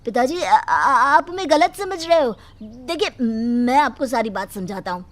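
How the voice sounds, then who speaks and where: A woman's voice: on the high side, animated, unhurried. A woman voicing a cowardly, sly grown son who stammers nervously in a cartoon. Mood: stammering.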